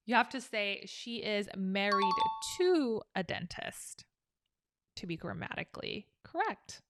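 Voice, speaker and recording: Slow-talking woman teaching English. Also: mid-range voice, big pitch swings, loud music behind the voice. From South Korea. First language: Korean. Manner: chatty and upbeat, explaining with examples. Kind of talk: casual online English lesson.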